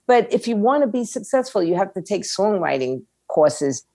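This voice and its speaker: A woman's voice: mid-range voice, big pitch swings, moderate pace, warm tone, no echo, studio quality. A woman giving candid, conversational advice as an interview guest, blunt and plain-spoken. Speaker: music attorney and label owner, interview guest.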